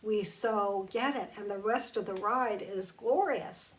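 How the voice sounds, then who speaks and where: Woman giving a slow, emphatic spiritual talk. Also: medium pitch, distant mic, low-quality recording. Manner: slow, emphatic and conversational, drawing out key words. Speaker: spiritual teacher.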